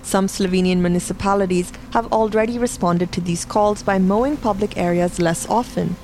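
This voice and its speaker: A woman's voice: medium pitch, normal speed, warm tone, slight background noise. A woman reads scripted documentary narration as the narrator of a reportage.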